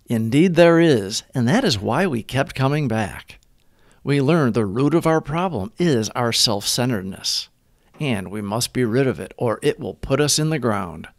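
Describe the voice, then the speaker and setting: A man reads a scripted reflection in a measured, deliberate way. His mid-pitched voice rises and falls with a lot of expression. The sound is dry, with no room echo at all. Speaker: host of recovery readings. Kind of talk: scripted devotional reading.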